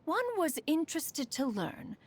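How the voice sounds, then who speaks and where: A woman's voice: sits high, sing-song, a little slow. A woman voicing a dignified, haughty yet caring immortal adeptus in a video game, with formal, archaic diction. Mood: composed. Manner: formal, lofty, archaic diction, calls oneself "one". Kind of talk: scripted video game character voice lines.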